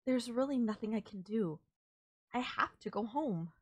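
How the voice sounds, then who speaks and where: A woman's voice: on the high side, animated, normal speed, husky, no room sound. A woman playing a tender, reassuring alien girlfriend in an intimate, bittersweet romantic audio roleplay. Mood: sad, resigned. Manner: soft-hearted, reassuring, gently teasing, addressing a lover. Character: tender alien girlfriend saying a sad goodbye.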